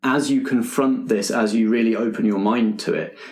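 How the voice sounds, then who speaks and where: A man's voice: lowish voice, fairly even intonation, moderate pace, darkish tone. A man narrating a tabletop roleplaying game as game master, explaining possibilities in a tentative, exploratory way. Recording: echoey room.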